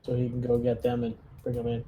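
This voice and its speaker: A man's voice: mid-range voice, monotone, quick pace, phone-quality sound, slight background noise. A man playing a tabletop roleplaying game, talking casually as he plans out what his character does.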